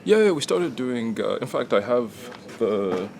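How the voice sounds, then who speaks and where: A man who runs a comics company, explaining its history in a measured, casual way. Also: medium pitch, sing-song, creaky, a little mellow, a little hiss or hum. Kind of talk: casual interview answers. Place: busy studio workspace. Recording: crowd chatter behind.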